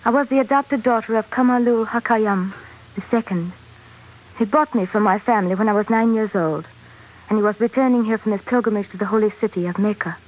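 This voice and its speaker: A woman's voice: mid-range voice, fairly quick, lightly acted. A woman playing a devoted, educated young sultana with an earnest manner in an old radio drama. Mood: gracious, composed. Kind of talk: 1940s radio drama acting. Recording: dull, noisy old radio recording.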